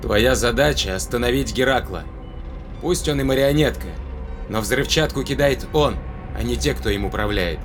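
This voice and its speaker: Actor as intense starship captain over music. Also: male voice, medium pitch, moderate pace, lightly acted. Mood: resolute. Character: male starship captain, stern, stubborn, shaken. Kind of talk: dramatic audio play acting. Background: clear background music.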